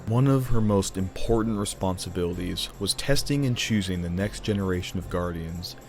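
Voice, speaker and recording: A man narrating a scripted fantasy audio drama as a theatrical, deliberate storyteller. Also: low voice.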